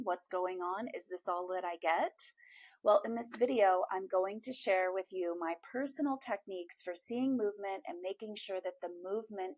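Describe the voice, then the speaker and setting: A woman with a slightly hoarse, froggy voice, giving an encouraging direct-to-camera coaching talk as a manifestation coach, speaking straight to the viewer. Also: mid-range voice, darkish tone, low-quality recording.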